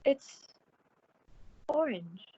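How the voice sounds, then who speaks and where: Actress as high, swooping naive radio heroine. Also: female voice, lively intonation, drawn-out words, dark tone, slightly rough, lo-fi sound, faint music behind, exaggerated voice. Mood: placating, uneasy. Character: pretty, naive, nervous woman, sociable and placating. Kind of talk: old-time radio suspense acting.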